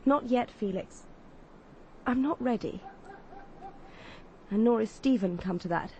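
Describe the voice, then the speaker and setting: A tense, bitter young Englishwoman in a radio drama. She speaks slowly and intensely in a fairly high voice, over faint ambient noise. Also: darkish tone, muffled recording. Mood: reluctant, tense. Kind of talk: BBC radio drama acting.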